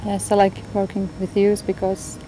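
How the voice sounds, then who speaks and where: A young woman from Latvia, a youth worker, describing her job earnestly with hesitant pauses. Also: mid-range voice, monotone, warm tone, rough recording. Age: 20s. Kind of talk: documentary interview. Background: background music.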